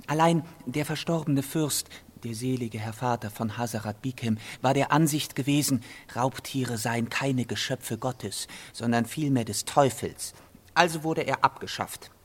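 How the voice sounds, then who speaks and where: Actor as courteous, strange young castellan. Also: male voice, medium pitch, husky, lightly acted. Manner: courteous, formal, elaborate explaining. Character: youngish, strange European castellan gone native, polite, faintly unsettled. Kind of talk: German radio play acting.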